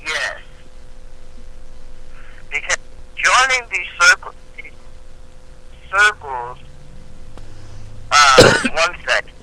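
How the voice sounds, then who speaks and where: A man with a very bright, sharp voice speaks as a guest on an internet call. He explains things slowly and earnestly, halting as he searches for the next phrase. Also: on the high side, sing-song, low-quality recording. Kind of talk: casual discussion. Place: remote voice on an internet conference call.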